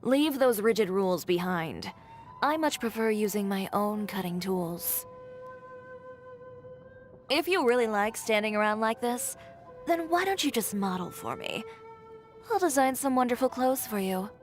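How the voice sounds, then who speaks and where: A woman's voice: on the high side, lively intonation, a little slow, pauses often, faint music behind. A woman voicing a blunt, haughty young fashion designer in a video game, speaking in a curt, dry and self-assured way. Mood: defiant, confident. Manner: curt, dry, matter-of-fact, coolly condescending. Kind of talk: scripted game character voice lines.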